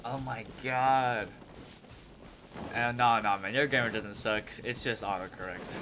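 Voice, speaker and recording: Slow-talking young male game streamer, slangy. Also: mid-range voice, very noisy. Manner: slangy reactions. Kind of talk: casual live gaming commentary. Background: loud game music.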